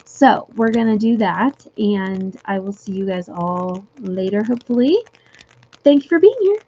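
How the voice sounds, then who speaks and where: A young woman chatting casually with viewers on a livestream from home, giggly and full of fillers, her voice going a little hoarse. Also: medium pitch, lively intonation, measured pace, dark tone, lo-fi sound. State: losing her voice. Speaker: livestream host. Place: home room.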